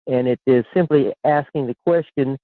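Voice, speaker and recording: A man's voice: mid-range voice, moderate pace, dry recording. Caller, man in his 60s, rambly and repetitive, phone-line sound, dry. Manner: rambling, repeats words, chuckles. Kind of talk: call-in radio chat. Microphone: telephone.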